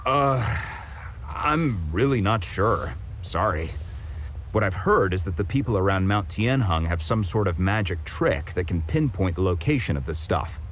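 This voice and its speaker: An obliging townsman in a video game. His voice is deep and moves around freely as he mulls things over casually. There is faint ambient noise behind him. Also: expressive, a little slow. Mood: helpful, musing. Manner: hesitant, casual, thinking aloud. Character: helpful, easygoing man giving local advice.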